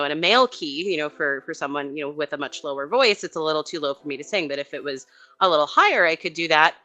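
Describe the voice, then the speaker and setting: A woman's voice: lowish voice, bright, forceful tone. A woman chatting casually as a show panelist, explaining things and acting out her own thoughts. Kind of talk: casual chat.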